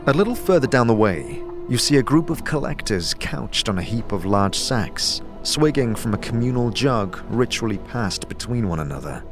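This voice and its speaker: A man narrating a fantasy audio drama in the second person, with deliberate, theatrical storytelling. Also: mid-range voice, big pitch swings. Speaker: narrator and game master. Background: music bed.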